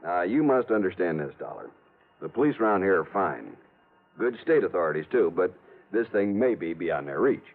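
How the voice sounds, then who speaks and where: Young American doctor, deep voice, grave, precise, tinny vintage radio drama. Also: male voice, big pitch swings, normal speed. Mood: earnest. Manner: earnest, precise. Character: grave, earnest young small-town male doctor. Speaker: actor in a radio detective drama. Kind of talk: old-time radio drama acting.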